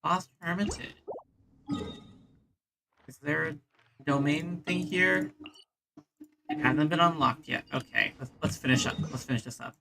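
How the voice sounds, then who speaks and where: A woman streamer does casual game commentary, thinking aloud at a slow pace. Her voice is low, with noticeable vocal fry. Her delivery is reactive and unscripted. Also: noisy background. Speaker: gaming streamer. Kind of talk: casual let's play commentary. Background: game music.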